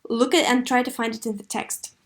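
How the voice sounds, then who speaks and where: Female English teacher for a kids' video lesson, slightly high pitch, instructive and expressive. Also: brisk. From Russia. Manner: didactic, expressive model reading with clear questions. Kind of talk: video lesson for third graders.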